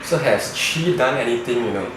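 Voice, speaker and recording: A man's voice: mid-range voice, expressive, moderate pace. Hesitant male interviewer in an echoey room. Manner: hesitant, many fillers. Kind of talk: casual interview questions. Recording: echoey room from far, with background noise.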